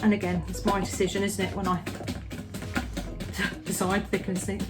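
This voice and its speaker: A woman's voice: medium pitch, little pitch movement, moderate pace, music in the background. A middle-aged woman chatting casually while she bakes, rambling with many restarts and "you know"s. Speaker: home baker, the host's mother. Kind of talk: casual chat while baking.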